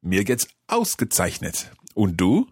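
A man hosting a language podcast lesson, speaking clearly and patiently and repeating phrases slowly. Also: low-pitched, huge pitch swings, no echo, studio quality. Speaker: language course host. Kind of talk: scripted podcast lesson chat.

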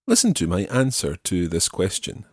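A man with a deep, husky voice teaches French, taking his time and repeating each phrase. His voice is close and dry, with no room around it. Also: huge pitch swings, no echo. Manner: patient, repeats phrases slowly. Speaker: language teacher. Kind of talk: explaining French phrases in English.

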